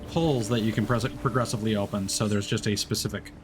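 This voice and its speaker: A man's voice: fairly low pitch, fairly even intonation, fast, music in the background. A man streaming a game, chatting casually and explaining as he plays, with lots of fillers and restarts. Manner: rambling, many fillers and restarts. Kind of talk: casual gameplay commentary.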